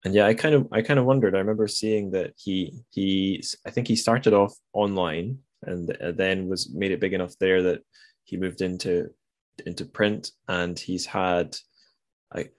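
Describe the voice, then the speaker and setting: Low-voiced male podcast host, tentative. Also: moderate pace, no echo. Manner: tentative, self-qualifying. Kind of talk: casual podcast discussion.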